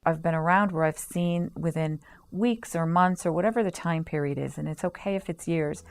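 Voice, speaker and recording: A woman on staff at a health center, explaining its services in a measured way with frequent pauses, over soft music. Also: fairly low pitch. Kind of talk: promotional interview.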